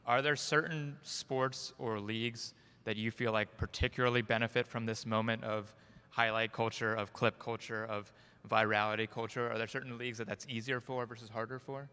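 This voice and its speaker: A man's voice: medium pitch, flat delivery, normal speed, bright, forceful tone, smooth. A man moderating a conference panel, asking long, searching questions. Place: conference stage. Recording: long reverb of a conference hall.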